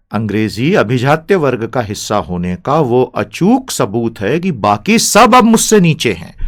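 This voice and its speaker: Animated Indian man teaching English. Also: on the high side. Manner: fast animated Hindi explanation, slow careful English reading. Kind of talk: language lesson, reading aloud.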